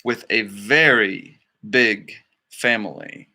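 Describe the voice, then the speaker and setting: A man's voice: lowish voice, slow pace, pushed and bright. A man reading a children's story aloud expressively, with dramatic phrasing and casual asides. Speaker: storybook reader.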